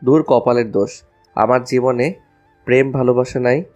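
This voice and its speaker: A man's voice: medium pitch, steady tone, moderate pace, a little mellow, soft background music, natural voice. A man playing a cheeky, lovestruck college student with a flippant, teasing way of talking in an audio love story. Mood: grumbling, scheming.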